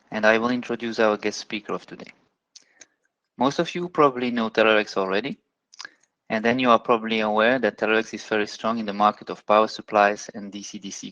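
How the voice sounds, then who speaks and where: A man opens a business webinar and introduces its guest speaker in a formal, measured way. His voice is mid-pitched. The audio sounds dull and slightly lo-fi. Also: fairly bright tone. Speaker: webinar host. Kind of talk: scripted introduction.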